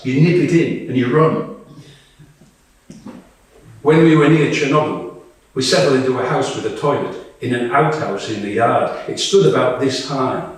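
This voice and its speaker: A man's voice: medium pitch, lightly acted. A man reading the part of a weary, blunt woman soldier in a dramatic monologue, wry, conversational and sometimes profane. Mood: amused. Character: Tonya, weary, blunt female Ukrainian soldier. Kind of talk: dramatic monologue read to a live audience. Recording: very echoey room, distant microphone.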